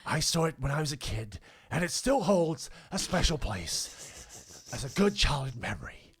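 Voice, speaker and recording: Man putting on a husky drawling movie-star impression. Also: a little high, sing-song, normal speed, no room sound, clean studio sound, exaggerated voice. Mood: emphatic, theatrical. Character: exaggerated Jack Nicholson-style impression, drawling older American man. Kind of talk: comic celebrity impression reading a listener letter.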